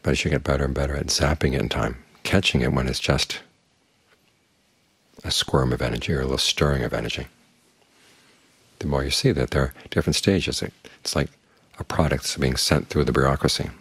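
An older man, a Buddhist monk, giving a calm, plain-spoken evening dharma talk that leans on homely images. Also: extremely low pitch, a little fast, husky, no echo.

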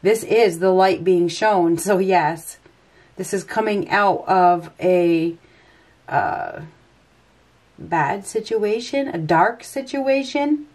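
A woman's voice: medium pitch, slow, a little mellow. A woman with a head cold and stuffy nose, giving an unscripted tarot reading and thinking aloud as she turns the cards. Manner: rambling, hesitant, thinking aloud. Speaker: tarot reader. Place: indoors at a table.

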